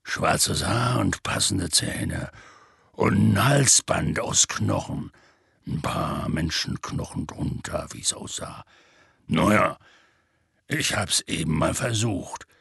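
A man voicing a big, rough, weary half-giant who tells his tale in a plainspoken, rambling, colloquial way, husky and gravelly, for an audiobook. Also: sits low, slow, fairly bright tone, no room sound, studio quality, exaggerated voice. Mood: dogged, animated. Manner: colloquial, rambling, matter-of-fact storytelling. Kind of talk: audiobook character dialogue.

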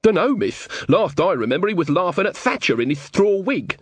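Man acting a frightened, simple village man, higher-pitched. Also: very animated, a little fast, husky, no echo, heavily acted. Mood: bewildered, nervous. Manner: rustic ungrammatical speech, hesitant and deferential.

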